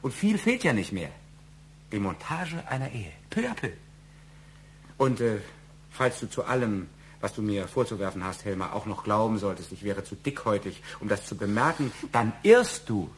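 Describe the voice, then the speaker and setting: An actor in a radio crime drama playing a needling, evasive middle-aged husband, formal and deliberate in his insinuations. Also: fairly low pitch, lively intonation, a little hiss or hum, natural voice. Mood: bitter, sarcastic.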